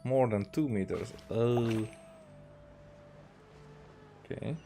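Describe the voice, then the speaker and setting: A man giving casual, halting gameplay commentary as a streamer, thinking aloud as he plays. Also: mid-range voice, vocal fry, dark tone, faint music behind. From Hungary. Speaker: video game streamer.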